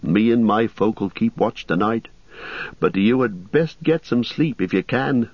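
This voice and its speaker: A man voicing a fussy, excitable middle-aged innkeeper who frets loudly in a raised voice. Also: breathy edge, dry recording, muffled recording. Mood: flustered. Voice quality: excitable, high and loud, quick to fret.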